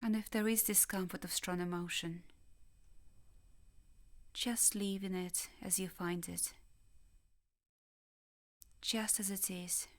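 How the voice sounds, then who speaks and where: Calm woman guiding a meditation. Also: lowish voice. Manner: calm, gentle, measured instruction with long pauses. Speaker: meditation guide, therapist. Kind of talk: guided body scan meditation.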